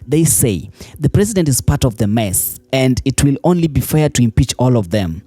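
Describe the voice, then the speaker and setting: A man's voice: mid-range voice, sing-song, normal speed, warm tone, breathy edge, no room sound. A man with a Kenyan accent reading scripted online news commentary as a voice-over. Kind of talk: scripted narration. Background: faint music.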